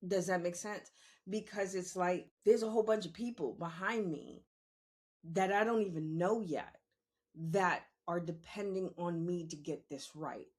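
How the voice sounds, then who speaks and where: A woman's voice: on the low side, a little slow, darkish tone. A woman, talking candidly and emphatically in a conversational monologue, repeating phrases for emphasis. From New York City, born in the Bronx and raised in Queens. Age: 50s. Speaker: podcast host.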